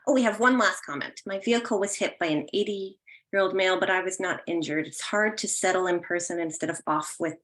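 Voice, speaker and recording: A woman's voice: fairly low pitch, brisk, a bit bright. A woman hosting a virtual question and comment session, encouraging and affirming as she talks, hesitantly searching for words. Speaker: host of an online audience discussion. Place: online session, remote call.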